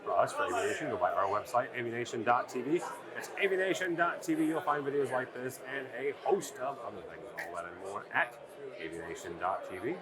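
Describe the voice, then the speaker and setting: A man with a mid-pitched voice interviews in a folksy, informal way at a trade event. A busy crowd chatters clearly behind him. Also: expressive, brisk. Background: crowd at a sales meeting.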